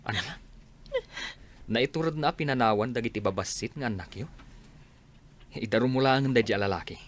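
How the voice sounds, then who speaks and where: A man's voice: mid-range voice, normal speed, natural voice. A man playing a practical social worker who gives matter-of-fact advice in a radio drama, with an Ilocano accent. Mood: concerned advice.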